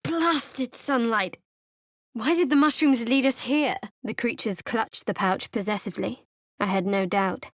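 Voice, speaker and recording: A wry, guarded young cleric. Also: female voice, a little high, measured pace, no echo. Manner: dry, sardonic, clipped. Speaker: game companion. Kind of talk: studio game voice lines. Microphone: close studio mic.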